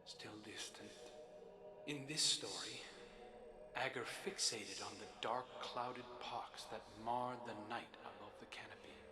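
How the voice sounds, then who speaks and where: A man playing a wistful, brooding brother in a game, telling a fable softly and in measured phrases. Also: medium pitch, lightly acted. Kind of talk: storytelling monologue in a game.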